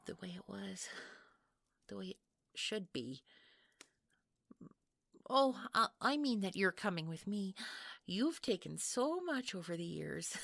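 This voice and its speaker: Audio roleplay villainess, female performer: jealous, obsessive wife, sweetly menacing, very unhurried delivery, husky near-whisper, taunting. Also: medium pitch, dry recording, heavily acted. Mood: sweetly sinister, then possessive, resentful. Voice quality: breathy, creaky, often near whisper. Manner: slow, sugary, taunting, breaking into giggles. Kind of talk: intimate scripted audio roleplay acting.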